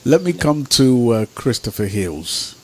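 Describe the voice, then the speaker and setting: A man's voice: mid-range voice, sing-song, unhurried, breathy edge. A man hosting a talk show in a casual, chatty way, steering the conversation between guests. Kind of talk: casual chat. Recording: noisy line with clicks.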